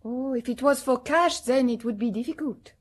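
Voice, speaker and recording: Woman playing a high-voiced shop assistant. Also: normal speed, close to an everyday voice. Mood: polite, helpful. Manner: polite, helpful. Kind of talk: British radio drama acting.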